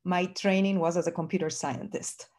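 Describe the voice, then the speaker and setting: A woman's voice: fairly low pitch, fairly even intonation, normal speed, darkish tone. A woman in her fifties from Argentina, a native Spanish speaker with an Argentine accent, presenting research as a professor on a video call. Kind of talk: conference presentation. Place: home office, indoors. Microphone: computer on a video call.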